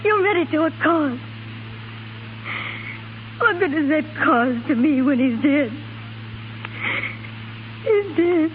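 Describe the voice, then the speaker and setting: A young Irish wife, newly widowed and bitter. Her voice sits very high, acted in the 1930s radio manner. The broadcast is thin and narrow, with faint noise underneath. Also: lively intonation, takes it slowly, long pauses. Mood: anguished, bitter. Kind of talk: 1930s radio drama acting.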